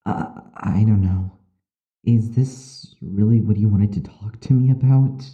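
Guy playing a nervous young patient in an audio drama, unsure, trails off, talks slow, no room echo. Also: lowish voice, mellow, breathy edge, highs cut off. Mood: hesitant, puzzled. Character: Nikolai, hesitant, uneasy young male psychiatric patient. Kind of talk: audio drama acting, confiding.

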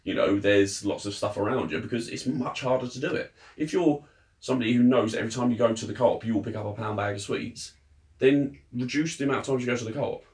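A man's voice: mid-range voice, quick pace, darkish tone. A man co-hosting a podcast in casual chat, giving emphatic, blunt advice. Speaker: podcast co-host.

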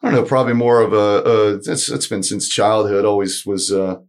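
A man's voice: lowish voice, steady tone, brisk. A middle-aged man, a musician and author, answering interview questions in a blunt, plain-spoken way with occasional swearing.

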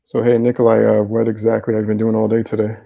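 A man's voice: fairly low pitch, monotone, fast talker, gravelly, lo-fi sound. A man hosting a live interview stream over a video call, casual and upbeat, with the odd um and man.

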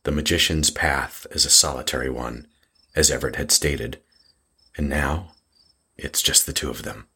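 Very deep solemn horror narrator performed by a man. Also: bright, forceful tone, slightly rough, full character voice. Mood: ominous, measured. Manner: measured, solemn, deliberate storytelling. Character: ominous, literary male narrator of a horror tale. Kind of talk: scripted horror audio drama narration. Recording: music under the voice.

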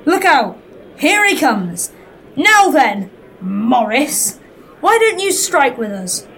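Woman as an outspoken picket, high and animated. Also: slow pace, exaggerated voice. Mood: challenging. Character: outspoken, indignant railway striker on 1919 picket line. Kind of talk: scripted audio drama dialogue.

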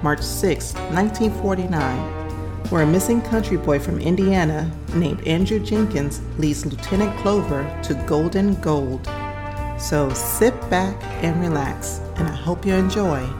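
Casting: female podcast host, deep register, scripted intro over music. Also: unhurried.

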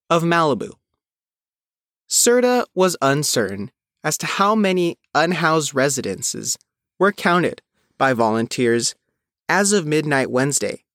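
Man reading news, lively varied pitch. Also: dry recording, clean studio sound. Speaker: reporter reading own written article. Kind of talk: scripted news narration.

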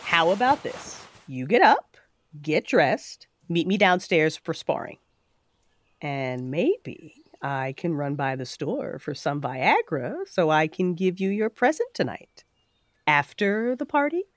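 A woman's voice: deep voice, big pitch swings, slow, no room sound, exaggerated voice. A woman playing a teasing, confident and bossy superhero fighter in an audio drama. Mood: bossy, playful. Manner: teasing, assertive.

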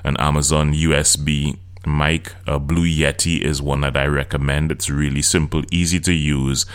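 A middle-aged host with a Trinidadian accent talks straight to his listeners on his own podcast. His voice sits extremely low, and he explains things in an earnest, coaching manner. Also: little pitch movement, moderate pace, smooth. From Trinidad and Tobago. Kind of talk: solo podcast talk.

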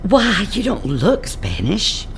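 A woman's voice: wildly swooping pitch, slow pace, gravelly, full character voice. A woman acting in an audio play as a genteel, polite elderly lady who speaks in exclamations and courteous questions. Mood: curious. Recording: faint vehicle rumble behind the voice. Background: bus ride ambience.

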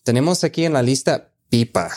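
A man's voice: medium pitch, animated, moderate pace, darkish tone. A man hosting a casual conversation, upbeat and encouraging, asking about words and reacting briefly.

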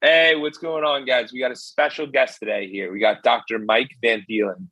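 A man's voice: medium pitch, steady tone, normal speed, pushed and bright, breathy edge, dry recording, highs cut off. A man hosting a podcast, greeting listeners and interviewing a guest in an upbeat, casual way. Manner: upbeat, casual, "what's going on guys". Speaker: podcast host.

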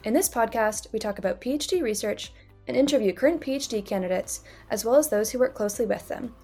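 A woman's voice: on the high side, fast talker, mellow. A woman co-hosting a podcast, a doctoral student, politely introducing the show and asking questions. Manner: encouraging and polite. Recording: faint music underneath.

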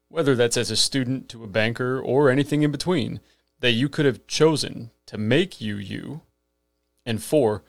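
This is a millennial man hosting his own podcast, speaking in an earnest, scripted way. His voice sits at an ordinary middle pitch. The sound is close and dry, with no room around it. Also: no room sound. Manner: earnest, rhetorical, emphatic lists. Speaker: podcast host.

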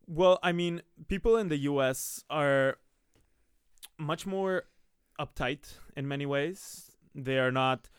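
Smooth-voiced young man. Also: a little high, takes it slowly, no room sound, clean studio sound. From Colombia. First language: Spanish. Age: young adult. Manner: chatty, many "like" fillers. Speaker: guest. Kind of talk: casual interview chat.

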